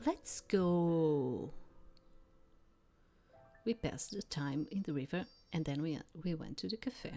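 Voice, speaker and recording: Low-pitched woman thinking aloud over music. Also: animated, slow pace, pauses often, mellow. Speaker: let's player reading a visual novel aloud. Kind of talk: casual gameplay commentary mixed with read-aloud story text. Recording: background music under the voice. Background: game music.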